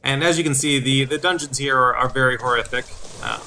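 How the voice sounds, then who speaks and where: A man's voice: mid-range voice, fairly quick, sharp tone, a little hiss or hum. A man giving explanatory video game commentary, with hesitant phrasing and repeated words. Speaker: gameplay host. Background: game music.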